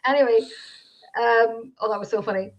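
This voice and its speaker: A woman's voice: on the high side, steady tone, normal speed. A chatty, giggly woman with an Irish accent, co-hosting a casual live-stream chat over a home computer microphone. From Ireland. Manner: giggly, chatty, self-deprecating. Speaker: podcast co-host. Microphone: home computer microphone on a video call. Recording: dull lo-fi online call audio.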